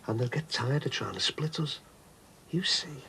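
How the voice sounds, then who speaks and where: A lively, up-and-down voice from a blunt dad who keeps calling everyone love. He sounds middle-aged, coaxing and plain-spoken, with a mid-pitched voice. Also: moderate pace, creaky, slight background noise, poor audio. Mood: pleading. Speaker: father. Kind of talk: 1990s TV soap acting.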